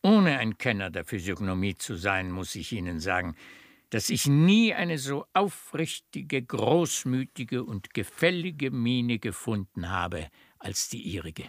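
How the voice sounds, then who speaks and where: Effusive baron, swooping, emphatic delivery. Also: male voice, mid-range voice, very animated, unhurried, no echo, clean studio sound. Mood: effusive, grateful. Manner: expansive, courtly, emphatic. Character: effusive, prejudiced baron, doting father of a daughter. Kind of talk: theatrical German radio play acting of classic comedy.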